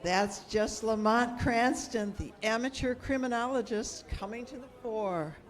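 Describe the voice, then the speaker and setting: A woman's voice: a little high, a little slow, natural voice. A woman playing a sympathetic, inquisitive lady companion to a detective in old-time radio drama style. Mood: sympathetic, concerned.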